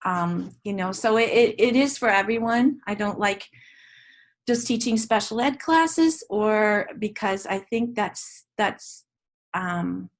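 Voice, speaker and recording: A woman's voice: medium pitch, lively intonation. Earnest older woman, Dutch native speaker. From Ontario, Canada. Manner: earnest, reflective, with frequent restarts and pauses. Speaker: guest educator. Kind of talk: interview.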